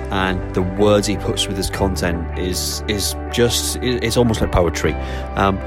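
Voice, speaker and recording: A man hosting a podcast who closes the episode with an upbeat, motivational sign-off. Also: low-pitched. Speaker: podcast host. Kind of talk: scripted podcast outro. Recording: clear music bed behind voice.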